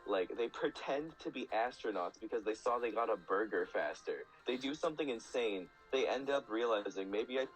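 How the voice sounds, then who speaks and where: Low-voiced man rambling enthusiastically over music. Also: lively intonation, moderate pace, few pauses, rough recording. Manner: rambling, enthusiastic explaining with frequent "like". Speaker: guest speaker. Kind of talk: casual podcast chat.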